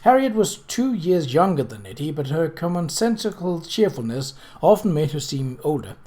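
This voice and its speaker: Very animated audiobook reader. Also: mid-range voice, moderate pace. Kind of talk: animated scripted story narration.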